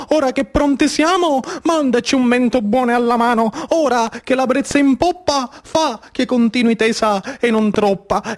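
Sicilian guy doing dramatic stage storytelling, really high voice, chanty rhythm, repeats himself. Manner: incantatory, rhythmic, repeats phrases. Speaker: theatre storyteller and actor. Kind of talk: dramatic theatrical monologue in dialect.

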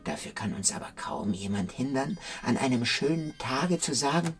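A man's voice: mid-range voice, expressive, moderate pace, husky, soft background music. An actor playing a tall, idle, teasing man who muses theatrically in a German literary radio drama. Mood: musing. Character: tall, idle, teasing man philosophizing while lying sprawled.

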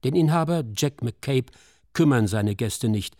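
A male narrator with a medium-pitched voice reads scripted documentary drama. His delivery is sober and clipped, and the studio sound is dry and close to echo-free. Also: animated, a little slow, darkish tone.

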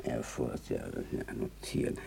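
Deep-voiced, ceremonious old beggar. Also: male voice, measured pace, creaky, dark tone, husky, exaggerated voice. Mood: fussy, hesitant. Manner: formal, courteous, fussy. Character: ceremonious old male beggar reciting his daily motto. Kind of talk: radio play acting.

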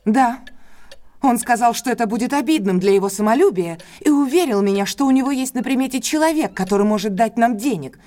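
A betrayed but resolute lady from a classic detective audio drama , played by a woman. Her voice sits somewhat high. She speaks formally and earnestly, with proud, emotional intensity. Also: expressive, a little fast, warm tone, lightly acted. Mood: subdued, confiding.

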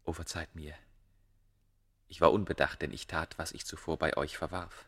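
A man acting an ardent scholar of ancient Egypt in a German radio play, with formal, poetic phrasing and a gravelly voice. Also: very deep voice, level tone, normal speed, fairly bright tone. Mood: courtly flattering.

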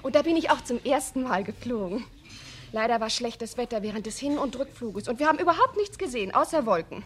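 A coquettish young woman of about twenty in a 1970s radio mystery, played by an actress. Her voice is fairly high and swings animatedly as she chats and shows off. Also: lively intonation, a little fast, natural voice. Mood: chatty. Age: 20s. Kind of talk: 1970s German radio drama acting.

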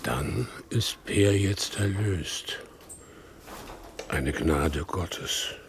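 An older farmhand father in a radio drama, humble and pious. His low voice moves slowly through plain, folksy phrases with a resigned manner. There is clear noise around the voice. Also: animated, exaggerated voice. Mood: solemn, resigned. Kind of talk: German radio drama acting.

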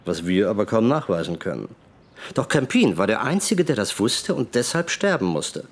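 An actor playing a matter-of-fact police detective who lays out a case in a naturalistic radio drama. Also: male voice, fairly low pitch, sing-song, moderate pace. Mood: sober, certain.

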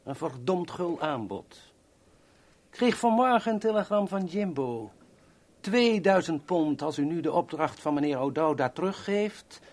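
An actor playing an oily, politely menacing go-between in a 1970s radio play, formal and insinuating. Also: male voice, fairly high pitch, lively intonation, measured pace. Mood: insinuating, businesslike.

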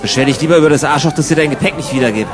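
A man's voice: on the high side, very fast, crowd noise, natural voice. A man playing a practical, sarcastic travel companion in a comic radio play, talking in a dry, terse, exasperated way. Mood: dry, matter-of-fact.

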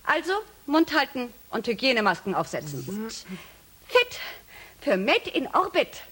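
An earnest space mission leader in a radio play. Her voice is highish and husky, and she instructs her crew formally with animated intonation. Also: unhurried, full character voice. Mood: brisk, commanding. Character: earnest, dutiful female space mission chief. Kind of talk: radio drama.

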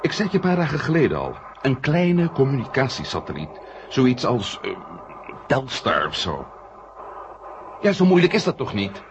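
A man playing a quick-thinking, drily wry space scientist in a 1970 radio drama, reasoning aloud with hesitant pauses. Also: mid-range voice, wildly swooping pitch. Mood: eager, probing. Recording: noisy, muffled 1970 radio recording.

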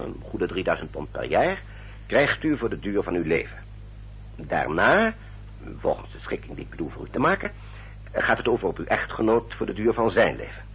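An actor playing a courteous English family solicitor in a radio drama, speaking in a formal, measured, explanatory manner. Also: male voice, lowish voice, husky, full character voice. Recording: dull, muffled old radio recording.

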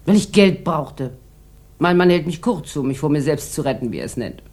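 A woman's voice: low voice, very animated, normal speed, a little mellow. A woman playing a bored, boozy rich housewife in a 1960s German radio drama, theatrical and sardonic, drawing out her rambling remarks. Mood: sardonic, bitter.

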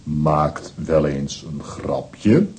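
An actor playing a gruff, good-natured strongman who talks in terse telegram sentences in a children's radio play. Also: a bass voice, extremely slow, a little mellow, exaggerated voice. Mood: kindly, reproving. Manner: terse, clipped telegram sentences without articles.

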